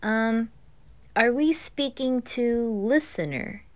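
Woman as a cool, slow-talking boy. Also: on the high side. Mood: calm, polite. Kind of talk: fan roleplay ASMR drama.

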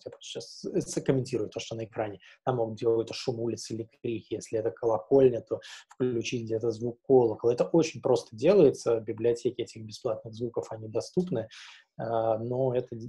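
A man's voice: mid-range voice, dark tone, husky. A man speaking at a webinar, giving advice in an informal, instructive way. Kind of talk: informal explanatory talk.

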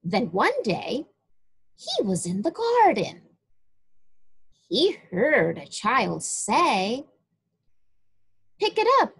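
A woman reads a children's Bible story aloud at an extremely slow, deliberate pace. Her fairly high voice swings up and down with emphasis, and it has a husky edge.

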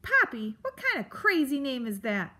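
A crotchety, blustering beast from a children's storybook, played by a woman reader. He snarls and snaps rudely in a raised, high-pitched bark. His temper is brash and impatient. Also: very animated, takes it slowly, sharp tone, full character voice. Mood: cranky, exasperated. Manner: snarling, snapping, brash and insulting. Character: grumpy old male porcupine-like beast, blustering, crotchety.

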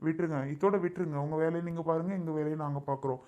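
A man's voice: a little high, fairly even intonation, racing, a little mellow, highs cut off, close to an everyday voice. Man acting a firm, indignant protective husband. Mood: curt, dismissive. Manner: firm, argumentative, insistent. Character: indignant protective husband refusing to give up the child.

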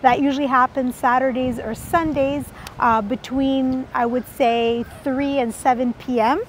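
A woman's voice: high voice, fairly even intonation, a little slow, a little hiss or hum. A woman in her thirties talking to a handheld phone outdoors, chatty and upbeat as she explains things to viewers. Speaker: travel vlogger. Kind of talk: casual travel vlog to camera. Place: outdoors on city streets and a seaside park walkway. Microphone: phone held at arm's length.

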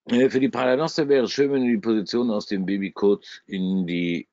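A man's voice: lowish voice, fairly even intonation, fairly quick, darkish tone, no room sound. A man with a German regional dialect hosting a live trading webinar, talking bluntly and chattily with salty humor while he walks through his screen. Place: at a computer, screen shared. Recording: dry, slightly dull webinar audio.

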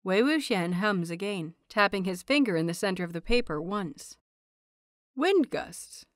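Audiobook narrator, woman, medium pitch, expressive and measured with story prose, very dry sound. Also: no room sound. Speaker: audiobook narrator of fan fiction.

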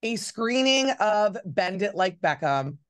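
A woman's voice: medium pitch, sing-song, takes it slowly, dry recording. Chatty woman radio host. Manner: chatty, full of fillers and restarts. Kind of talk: casual interview with games. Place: radio studio.

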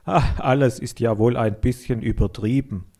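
A man voicing a friendly, fatherly milk inspector in a children's story, genial and gently teasing. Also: mid-range voice, moderate pace, close to an everyday voice. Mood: modest, calm.

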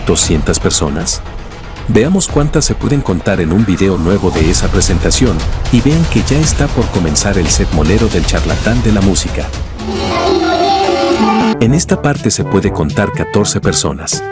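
A man's voice: low-pitched, sing-song, moderate pace. A man narrating a mocking commentary voice-over, scornful and sarcastic, with music behind. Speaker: channel narrator.

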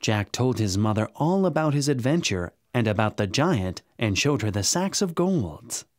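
A man narrating a scripted children's bedtime story in a dramatic, expressive way. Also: mid-range voice, moderate pace. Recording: music under the narration. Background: music.

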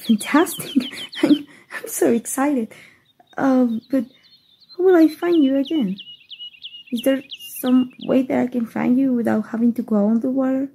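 A shy, giggly young fairy who keeps stumbling over her words, her voice a little high but soft and mellow, as a woman voices her. Faint birdsong drifts behind her in this gentle ASMR roleplay. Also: a little slow, vocal fry, slight background noise. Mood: flustered. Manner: giggly, bashful, stammering. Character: playful flustered young female fairy. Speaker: voice actor.

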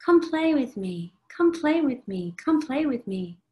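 A woman's voice: sits high, big pitch swings, measured pace, mellow, pitched above the performer's own voice. A woman voicing a small, lonely inner child who begs in a sing-song, repetitive way. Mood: pleading. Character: pleading little inner child, lonely and wistful.

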